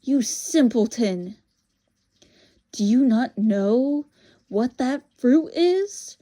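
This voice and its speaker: A woman's voice: a little high, expressive, extremely slow, dark tone. A woman voicing a proud, tempting evil witch in a storybook, commanding, scornful and grandly formal. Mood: scornful, urgent.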